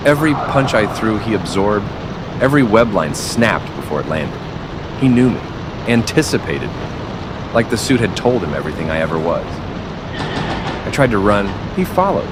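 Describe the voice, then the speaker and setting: A man narrating a dark scripted story in the first person, slowly and seriously, with dramatic pauses. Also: on the low side, big pitch swings. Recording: loud city ambience and rain noise. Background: rain and city traffic ambience.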